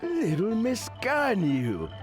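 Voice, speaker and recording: A man voicing a genial, courtly, wordy old man in a video game, with drawn-out kindly phrasing. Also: sits high, huge pitch swings, full character voice. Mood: delighted teasing. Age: older. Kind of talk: video game character dialogue. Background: game music.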